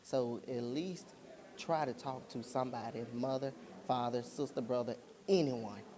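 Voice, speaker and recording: A man, an actor giving an interview in a plain, measured, sincere way. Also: medium pitch, mellow. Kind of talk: interview talk.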